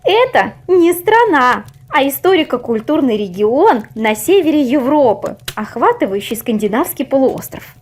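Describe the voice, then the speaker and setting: A woman's voice: high-pitched, sing-song, normal speed, hardly any pauses, pitched above the performer's own voice. A woman voicing a know-it-all little schoolgirl in a storybook, reciting facts in a pedantic way. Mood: smug, pedantic.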